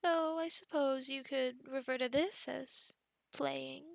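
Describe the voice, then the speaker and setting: A high-voiced female werewolf acted by a woman speaks slowly and intimately into a close mic. She slips between crackly fry and breathy whispers in an ASMR roleplay. Her manner is formal, coaxing and softly menacing, obsessively possessive of her captive. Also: fairly even intonation, no room sound, exaggerated voice. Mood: possessive, soothing. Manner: slow, formal wording, soft menacing coaxing, often whispering. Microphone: close microphone.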